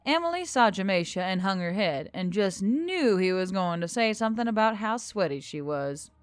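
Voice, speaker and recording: Animated woman narrating a story. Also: mid-range voice, moderate pace, smooth, no room sound. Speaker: narrator and channel host.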